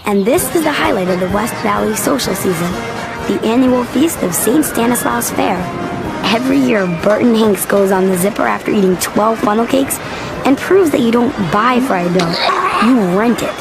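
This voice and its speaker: Small-town cartoon kid, sardonic narrator, grumbling, highish voice, clear music bed. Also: female voice, expressive, normal speed, low-quality recording. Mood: wry, amused. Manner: wry, grumbling. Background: background music.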